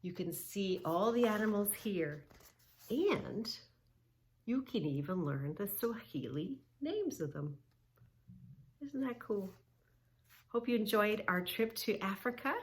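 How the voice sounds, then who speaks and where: A woman's voice: medium pitch, lively intonation, takes it slowly, pauses often. A woman reading a children's book aloud as a yoga teacher, encouraging young listeners with questions, repetition and simple instructions. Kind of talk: children's read-along with movement instructions and counting.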